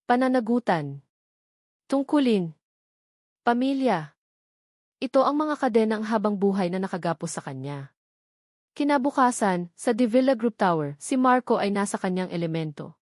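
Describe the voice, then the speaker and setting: Filipina lady reading a dramatic love story, medium pitch, really dry close sound. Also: lively intonation, normal speed, long pauses, dry recording. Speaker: narrator.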